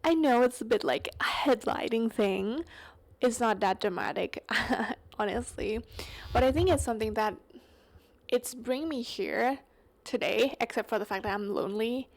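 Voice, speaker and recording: A woman's voice: on the high side, moderate pace. A young woman from Thailand with a Thai accent, English not her first language, rambling casually through a solo podcast with many fillers and self-corrections. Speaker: podcast host.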